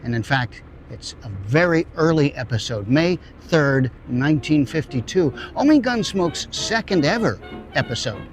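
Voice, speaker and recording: A man's voice: fairly high pitch, animated, moderate pace, faint music behind. A man presenting a scripted, folksy introduction as the host of an audio drama show.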